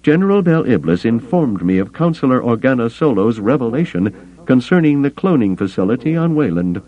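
A man's voice: mid-range voice, big pitch swings, brisk, lo-fi sound, pitched above the performer's own voice. A man voicing a furry male alien counselor, formal and pompous, in an audiobook story. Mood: indignant protest. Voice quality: somewhat melodious.

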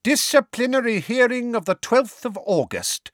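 A man's voice: medium pitch, wildly swooping pitch, unhurried, fairly bright tone, no room sound, studio quality, voice pushed up, full character voice. A man voicing a cold, pompous middle-aged male official in an audiobook, declaiming formally in a ringing, officious way.

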